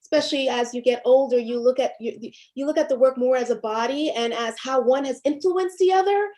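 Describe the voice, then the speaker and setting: A woman from Haiti in her fifties, a documentary filmmaker speaking on a panel over a video call, thoughtful and halting, with many fillers and restarts. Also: sits high, mellow. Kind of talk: reflective panel discussion.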